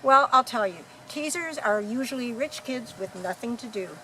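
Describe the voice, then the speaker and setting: A woman's voice: on the high side, expressive, normal speed, bright, forceful tone, slight background noise, low-quality recording. A woman playing a breezy, knowing galactic hitchhiker in a radio play, explaining things in a matter-of-fact way. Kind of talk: amateur radio play acting.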